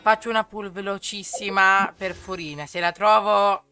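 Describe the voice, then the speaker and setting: A man's voice: sits high, fairly even intonation, moderate pace. A man from Italy giving casual gameplay commentary as a gaming video creator. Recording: light game music behind.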